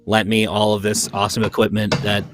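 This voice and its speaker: A man's voice: fairly low pitch, monotone, brisk, noisy background. A man who did the sound effects, chatting casually on a video call and laughing at himself. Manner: chatty and self-deprecating, laughs often. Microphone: home mic on a video call.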